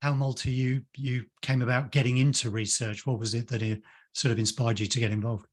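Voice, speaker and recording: A man's voice: mid-range voice, flat delivery, fairly quick. A man hosting an interview on a video call, chatty and friendly, with fillers and small laughs. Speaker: interview host, company founder.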